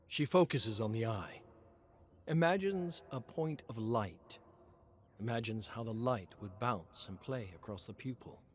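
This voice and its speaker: A man reading a scripted dramatic story as narrator in a measured, suspenseful manner. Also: lowish voice, a little hiss or hum.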